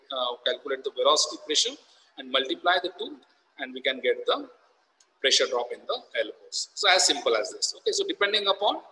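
A man's voice: a little high, bright, forceful tone, long reverb tail, low-quality recording. A man, an engineering professor explaining a technical topic over slides, repeating key terms and saying "okay" often. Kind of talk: recorded lecture over slides.

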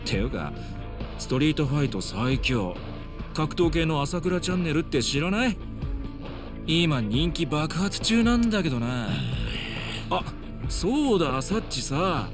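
An actor playing a cocky, mocking young punk livestreamer with slangy, taunting speech in a video game. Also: male voice, a little high, animated, a little slow, pauses often, over music. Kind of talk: dramatic video game acting.

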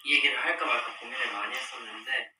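Young man, livestream chat, soft murmuring delivery, very bright tone, roomy sound a bit off-mic. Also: medium pitch, fairly even intonation, fast, far from the mic, a little hiss or hum. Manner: soft-spoken, drifts into murmurs and whispers, laughs often. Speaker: livestream host. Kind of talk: casual livestream chat with fans. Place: room with dark wall at a long table, indoors. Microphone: device camera across the table.

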